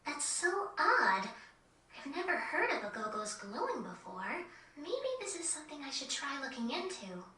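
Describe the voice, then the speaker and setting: A woman playing a polite, kindly and curious young female in a video game, gentle and courteous. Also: high-pitched, big pitch swings, moderate pace, exaggerated voice. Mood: pensive. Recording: roomy, distant, music underneath.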